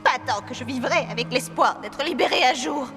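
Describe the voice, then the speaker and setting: Defiant high-voiced princess in reverb. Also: female voice, lively intonation, a little fast, music in the background. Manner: resolute, dignified. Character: proud, defiant young captive princess. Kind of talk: animated cartoon dub. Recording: long hall reverb.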